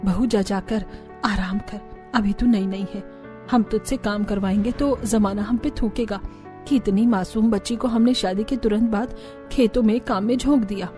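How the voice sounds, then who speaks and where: A woman's voice: medium pitch, steady tone, normal speed, mellow, faint music behind, natural voice. A woman voicing a caring older village mother-in-law in a cartoon. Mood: concerned.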